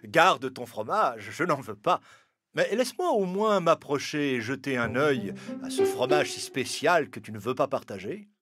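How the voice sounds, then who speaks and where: A man voicing a cunning, smooth-talking fox in an animated fable, with wheedling, theatrical phrasing. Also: medium pitch, normal speed, over music, natural voice. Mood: feigned resignation. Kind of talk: animated fable character acting.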